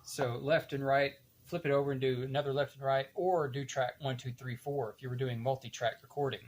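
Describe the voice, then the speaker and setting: An older man giving a casual, unhurried hands-on demonstration, halting now and then as he thinks aloud while working. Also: mid-range voice, flat delivery, slight background noise. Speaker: demonstrator of reel-to-reel tape machines.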